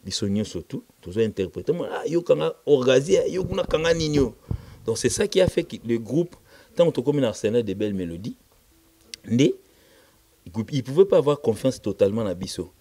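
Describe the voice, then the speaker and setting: A man with a Congolese accent and Lingala as his first language speaks as an interviewed musician, emphatic and repetitive, switching between halting French and Lingala. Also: medium pitch, very animated, warm tone, breathy edge. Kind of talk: casual interview, recounting band history.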